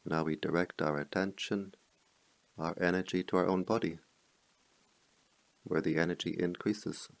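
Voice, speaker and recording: A man leading a guided meditation as a teacher, speaking in slow, measured phrases with pauses between them. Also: low voice, fairly even intonation, vocal fry, dry recording.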